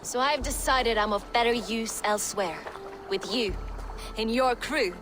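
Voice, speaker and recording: A woman's voice: on the high side, measured pace, natural voice. An actress playing a bold, restless Viking warrior woman in a video game, wry and spirited in manner. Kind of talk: dramatic video game acting. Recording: music behind the voice.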